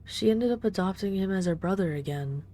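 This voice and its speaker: A woman playing an ageless vampire in an intimate audio roleplay, speaking softly and without hurry, tender and wistful with a gently condescending, commanding edge. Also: mid-range voice, animated, fairly quick, slight background noise, close to an everyday voice. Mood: wistful, reflective.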